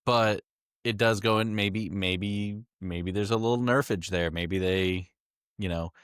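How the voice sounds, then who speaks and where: A man's voice: fairly low pitch, steady tone, measured pace, a bit bright, smooth, dry recording, studio quality. A man co-hosting a casual motorsport podcast, thinking aloud with lots of restarts and eager remarks. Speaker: podcast co-host. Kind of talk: casual chat.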